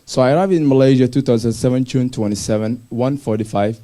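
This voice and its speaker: A young man from Somalia telling his personal story to an audience in simple, halting English with restarts. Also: mid-range voice, dark tone. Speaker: speaker sharing his refugee story. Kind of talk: personal story talk on stage.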